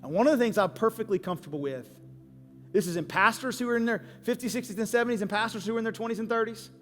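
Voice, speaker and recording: A man's voice: sits high, rapid-fire, a bit bright. A man in his forties preaching a sermon as a pastor, speaking emphatically and candidly and repeating phrases for effect. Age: 40s. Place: large church auditorium. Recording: long hall reverb, music underneath. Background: music.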